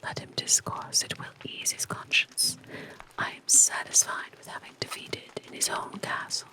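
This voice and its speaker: A reader voicing a shrewd, sardonic male detective in a soft whispered storybook reading. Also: close to an everyday voice, poor audio. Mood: wry. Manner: whispered, unhurried and dry.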